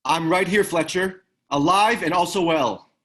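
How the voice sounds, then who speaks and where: An actor in a screenplay table read playing a loyal, steady ex-military pilot with an understated, wry, casual manner. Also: male voice, high voice, a little slow. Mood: firm.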